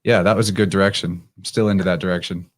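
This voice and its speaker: Lowish-voiced man hosting a casual podcast. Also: steady tone, quick pace. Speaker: podcast host. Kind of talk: casual chat.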